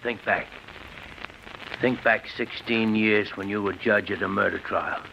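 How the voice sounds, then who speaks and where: An actor playing a brooding, prematurely aged ex-convict in a halting, insistent 1940s radio drama style. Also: male voice, on the low side, fairly even intonation, vocal fry, sharp tone, heavily acted. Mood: quietly menacing. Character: embittered, prematurely aged ex-convict, big and broken. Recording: muffled noisy old radio recording.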